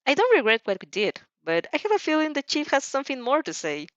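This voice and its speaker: A woman's voice: sits high, huge pitch swings, fast, dry recording, pitched above the performer's own voice. A cheeky yet polite teenage heroine, voiced by a woman for an audio story. She stays composed with a teasing edge. The recording is dry and slightly muffled. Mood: calm, sly. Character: calm, cheeky, polite sixteen-year-old girl hero student.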